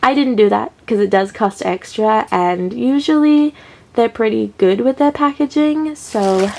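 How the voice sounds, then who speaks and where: A woman's voice: a little high, a little slow, gravelly, mellow. A woman in her thirties from Australia, talking through an unboxing in a chatty, upbeat way, her voice stuffy from a cold. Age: 30s. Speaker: collector vlogger. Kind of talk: casual unboxing vlog.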